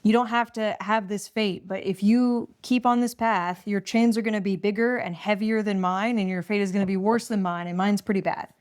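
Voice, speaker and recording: A woman's voice: mid-range voice, steady tone, normal speed, few pauses, darkish tone. A woman co-hosting a casual book chat, retelling and discussing a story in an informal, plain-spoken way. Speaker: podcast co-host.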